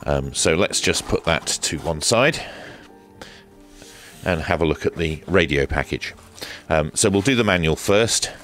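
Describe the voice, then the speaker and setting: Guy with a deep low voice doing a laid-back unboxing review, bit hesitant, dry humour, faint music behind him. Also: a bit bright. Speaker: radio reviewer and presenter. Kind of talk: casual hands-on unboxing commentary.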